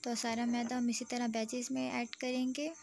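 A woman hosting her cooking channel, narrating a home recipe step by step to viewers in a steady, explanatory way. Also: fairly high pitch, moderate pace, creaky, a little hiss or hum. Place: home kitchen.

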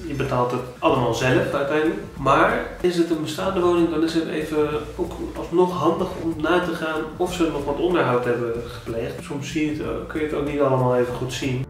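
A man in his thirties with a Dutch accent, giving home-buying tips in a casual, animated vlog with many restarts. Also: fairly high pitch, fairly quick. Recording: very echoey room, a bit distant.

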